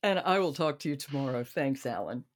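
A woman's voice: extremely low pitch, lively intonation, fairly quick. A woman hosting a podcast, chatting casually about books with her guest. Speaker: podcast host. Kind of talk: casual book chat.

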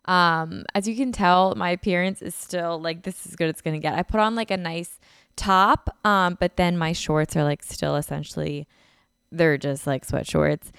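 A woman's voice: fairly low pitch, steady tone, moderate pace, creaky, a bit bright, smooth, no room sound, clean studio sound. A young woman hosting her own podcast in a casual, chatty way, sounding a bit congested and filling her talk with "like", recorded on a podcast mic in a beach house living room. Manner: chatty, lots of "like" fillers. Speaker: podcast host. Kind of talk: casual solo podcast chat.

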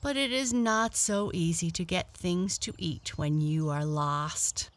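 A woman's voice: fairly low pitch, takes it slowly. A woman voicing a polite, adventurous female cat in a children's storybook, kindly, earnest and a little homesick.

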